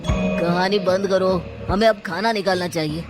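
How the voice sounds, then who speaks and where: Male narrator as alarmed young guy in a horror tale, pitched well up, music behind. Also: steady tone, moderate pace, close to an everyday voice. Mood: uneasy.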